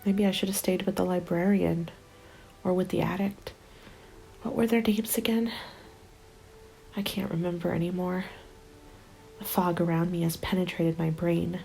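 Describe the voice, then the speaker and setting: Weary female apocalypse survivor, played by a woman, ASMR narration: hushed and whispery, dark mellow tone, flat melody, slow delivery. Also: lowish voice, low-quality recording. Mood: weary, desperate. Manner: hushed, slow, breathy, often dropping to a whisper. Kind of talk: ASMR roleplay, scripted first-person narration.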